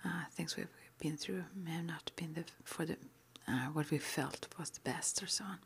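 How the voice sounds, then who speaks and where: A woman whispering breathily in an improvised ASMR roleplay, halting and gently reassuring as she plays a guide at a witch school. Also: low voice, slightly rough.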